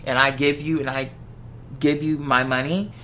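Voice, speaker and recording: Bright, emphatic voice in casual opinionated talk. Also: medium pitch, flat delivery, moderate pace, a little hiss or hum. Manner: emphatic, repeats phrases for stress.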